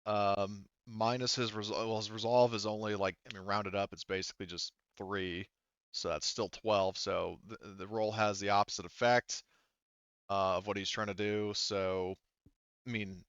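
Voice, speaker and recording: Male game master, low smooth voice, casual and halting, thinking aloud; dry, slightly dull recording. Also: flat delivery, fairly bright tone, dry recording. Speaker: game master of a tabletop roleplaying game. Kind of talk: casual unscripted game narration and rules talk.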